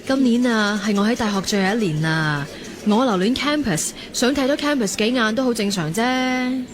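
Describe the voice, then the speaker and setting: A woman acting a lively young university student in a radio drama, playful, defensive and wistful by turns. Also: on the high side, normal speed, close to an everyday voice. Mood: playfully defensive. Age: young adult. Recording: crowd chatter behind the voice.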